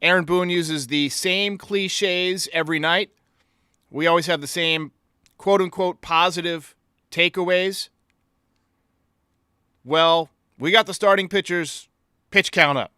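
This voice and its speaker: Bright, crisp-voiced male sports podcast host. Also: on the high side, no echo, studio quality. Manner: blunt, sarcastic. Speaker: host of a baseball fan podcast. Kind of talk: opinionated sports commentary.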